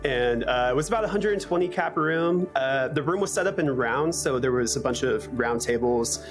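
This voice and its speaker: Male audio engineer, medium-pitched voice, casual interview testimonial, clear music bed behind. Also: animated, moderate pace. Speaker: church audio engineer and content producer. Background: background music.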